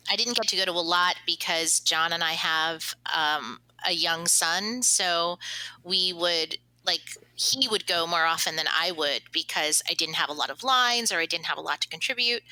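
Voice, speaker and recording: A woman's voice: fairly low pitch, bright, forceful tone, no echo, poor audio. A woman talking casually in a group interview as a cast member of an audio drama.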